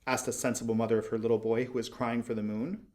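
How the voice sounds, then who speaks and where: A man reading a storybook aloud as an expressive audiobook narrator. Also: mid-range voice, fast talker.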